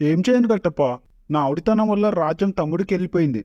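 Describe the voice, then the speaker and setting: A man's voice: high voice, fast talker, dark tone, no room sound, close to an everyday voice. A man voicing a pitiful, self-pitying cartoon prince who complains in a hurry, on dull lo-fi audio. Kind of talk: animated parody comedy voice acting.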